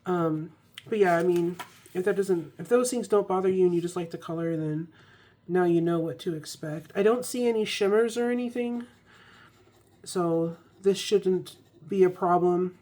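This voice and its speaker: A woman's voice: on the low side, moderate pace. Chatty woman reviewing ink, over a cold. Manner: relaxed, chatty, with frequent ums and restarts. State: recovering from a cold. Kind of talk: casual product review vlog.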